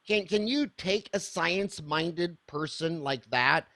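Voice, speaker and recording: A man's voice: on the high side, takes it slowly, bright, forceful tone. A man in his fifties hosting an interview podcast, enthusiastic and rambling, with many restarts. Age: 50s. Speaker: podcast host. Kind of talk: casual interview.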